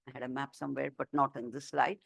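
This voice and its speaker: A woman author giving a book talk and answering questions, chatty and animated, with many fillers and restarts. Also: rumbling low, fast talker, creaky, dry recording, studio quality. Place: talk room.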